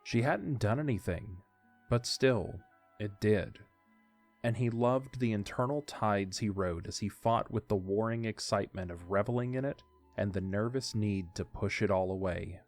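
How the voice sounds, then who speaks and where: A man narrates an audiodrama from a script. His voice sits fairly low, and soft music plays behind the story. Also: normal speed.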